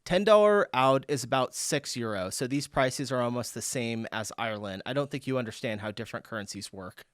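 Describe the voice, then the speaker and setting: A man from the United States hosting a casual reaction video, reading out viewer comments in a relaxed, chuckling way. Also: mid-range voice, a little fast, few pauses, dry recording, clean studio sound. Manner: laid-back, chuckling, reads out viewer comments.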